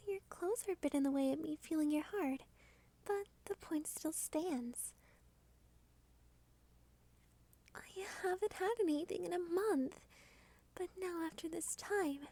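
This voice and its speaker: A woman playing a starved, doting yet domineering female vampire in an intimate ASMR roleplay, teasing and often slipping into breathy whispers. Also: extremely high pitch, moderate pace, mellow. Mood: hungry, intoxicated. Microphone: close studio microphone.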